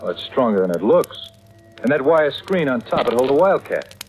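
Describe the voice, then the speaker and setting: A man's voice: medium pitch, sing-song, moderate pace, a little mellow, faint music behind, close to an everyday voice. An actor as an earnest radio drama leading man. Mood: insistent, polite. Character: earnest, determined man haunted by growing dread. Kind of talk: 1940s radio acting with first-person narration. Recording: vintage radio drama, musical underscore.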